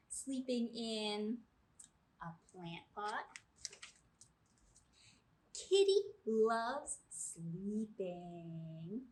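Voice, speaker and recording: Lady doing kids storytime, super slow n expressive, stretches words out, noisy room behind her. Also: medium pitch. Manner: expressive, drawn-out key words, questions to listeners. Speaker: children's librarian and storytime host. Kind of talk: read-aloud storytime for young children. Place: small indoor room with brick wall.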